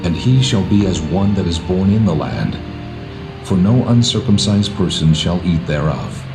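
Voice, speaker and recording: A man voicing a solemn, commanding God laying down law in measured, authoritative words, in a dramatised scripture reading. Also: sits low, big pitch swings, gravelly, full character voice, rough recording. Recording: music behind the voice.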